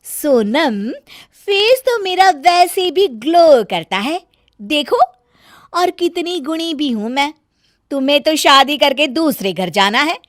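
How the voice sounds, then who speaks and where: A woman's voice: high voice, expressive, takes it slowly, no echo, studio quality, pitched above the performer's own voice. A woman voicing a nagging, boastful young Indian daughter-in-law in a storybook tale, with a scolding, self-important manner.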